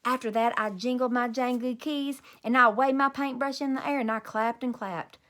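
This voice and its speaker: Bright, high-voiced woman reading a storybook. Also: normal speed. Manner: animated, emphatic. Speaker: teacher reading aloud to children. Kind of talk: expressive children's storybook reading.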